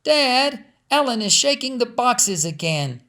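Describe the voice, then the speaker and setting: A man voicing an excitable, bossy young girl in a storybook, in an insistent manner. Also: very high voice, slow pace, voice pushed up, full character voice. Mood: whiny complaint.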